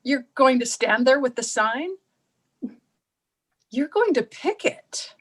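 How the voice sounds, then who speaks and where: A woman's voice: high voice, animated, slow, full character voice. A woman playing a naive, anxious suburban housewife, hesitant and stammering, in a staged play reading over a video call. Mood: flustered.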